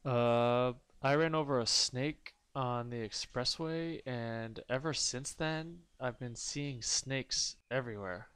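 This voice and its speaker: A man plays a dull-witted, bewildered caller to a radio show in a radio play. He talks very slowly and hesitantly, in a clear mid-pitched voice with a dry sound. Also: a bit bright, no echo. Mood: dazed, confused. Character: slow, dim, bewildered male radio caller. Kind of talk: comic radio play acting.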